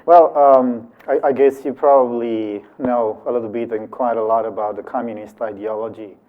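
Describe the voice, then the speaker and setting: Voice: mid-range voice, steady tone, normal speed. An interview host who welcomes a guest and asks questions in an encouraging way, often replying with short back-channel sounds. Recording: tinny phone-like sound.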